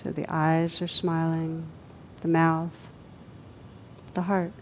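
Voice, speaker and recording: A woman leads a guided meditation in a low voice that stays very even. Her phrasing is gentle and spacious, with short instructions set apart by pauses. The recording is slightly dull. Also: a little hiss or hum. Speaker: meditation teacher.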